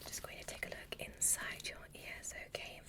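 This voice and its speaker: A woman whispering softly in an ASMR medical roleplay, giving soothing, reassuring and unhurried instructions as an examiner. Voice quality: breathy whisper. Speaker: ASMR performer.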